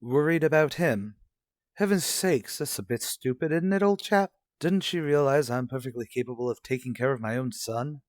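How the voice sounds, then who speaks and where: A man's voice: mid-range voice, moderate pace, no room sound, studio quality, close to an everyday voice. A man playing a self-assured, dismissive father and husband in an old-fashioned radio soap, formal and slightly pompous. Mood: disapproving.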